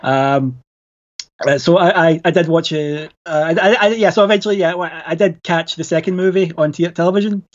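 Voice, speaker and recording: A man's voice: on the high side, fairly quick, highs cut off. A man with a Glasgow accent, a podcast guest chatting casually, hesitant with many fillers and restarts. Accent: Glaswegian. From Scotland. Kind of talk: casual chat.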